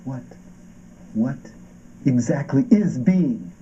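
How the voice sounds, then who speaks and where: A man's voice: medium pitch, expressive, slow, mellow. An actor playing a tormented existential man in an avant-garde stage drama, declaiming with odd stresses. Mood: probing. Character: tormented existential man questioning being and nothingness. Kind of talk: avant-garde theatrical performance of a radio play. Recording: dull, muffled stage recording with noise.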